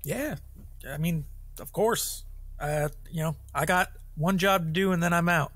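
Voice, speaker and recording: A man's voice: a little high, a little slow, fairly bright tone, dry recording. A man voicing a dim, evasive male hired hand with a folksy, hesitant way of talking, in a fantasy role-play podcast. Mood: wheedling. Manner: folksy, hesitant, colloquial wheedling.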